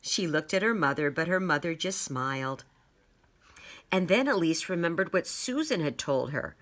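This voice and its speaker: Children's story narrator, woman, bright clear-cut tone, lively melodic range. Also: deep voice, normal speed. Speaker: storybook reader.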